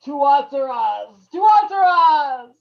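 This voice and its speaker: A man playing an evil, fanatical undead doctor from hell with theatrical, drawn-out cries in old time radio horror style, on a dull recording. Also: extremely high pitch, sing-song, creaky, heavily acted. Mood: desperate agony.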